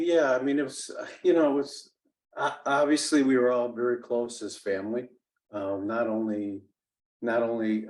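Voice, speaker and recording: A man in his sixties whose first language is Italian, telling his life story as an interview guest in a halting way, with many restarts and fillers. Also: fairly low pitch, a little mellow, rough recording. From United States. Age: 60s. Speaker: interview guest, business executive. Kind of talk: conversational storytelling.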